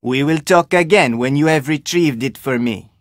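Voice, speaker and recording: Guarded Jamaican island fisherman, acted by a man, higher-voiced. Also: normal speed, dry recording, studio quality, lightly acted. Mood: measured, bargaining. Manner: terse, formal phrasing without contractions. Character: guarded, solitary male island fisherman, terse and suspicious. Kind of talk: video game character dialogue.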